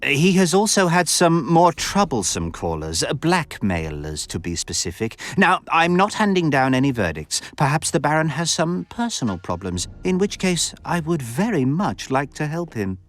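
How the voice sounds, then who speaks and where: An actor playing a suave, scheming count in a video game, speaking in florid, measured and insinuating phrases. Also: male voice, fairly high pitch, very animated. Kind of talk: video game cutscene dialogue.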